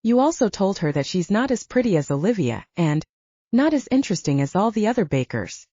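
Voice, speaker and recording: A woman's voice: mid-range voice, very animated, brisk, warm tone, no echo. A woman reading the lines of a blunt, mocking male werewolf friend in an audiobook. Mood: teasing. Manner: mocking, frank. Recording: dull dry lo-fi audio.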